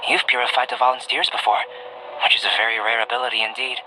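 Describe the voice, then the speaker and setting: An actor playing a calm, curious young male alchemist in a video game, speaking in a measured, detached and articulate scholarly way. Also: medium pitch, noisy background, natural voice. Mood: calm, explanatory. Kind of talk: scripted video game voice acting. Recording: music behind the voice.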